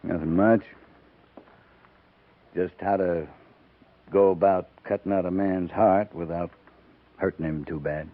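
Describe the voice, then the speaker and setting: A man acting a measured, authoritative American cavalry captain who speaks deliberately and tersely in a 1950s radio drama. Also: low voice, fairly even intonation. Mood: firm but considerate. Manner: deliberate, terse, thoughtful pauses. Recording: tinny narrow-band 1950s radio recording.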